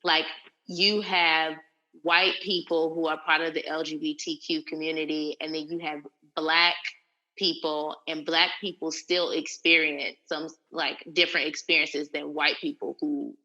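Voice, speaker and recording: A woman's voice: low-pitched, level tone, a little slow, sharp tone, muffled recording. A woman in a casual discussion, explaining her point carefully and patiently.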